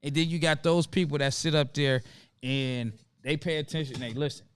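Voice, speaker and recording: A man's voice: on the high side, fairly even intonation, clean tone. Laid-back man hosting a live chat. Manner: laid-back, calls out viewers by name, drawn-out emphasis. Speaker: livestream host. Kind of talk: casual livestream chat.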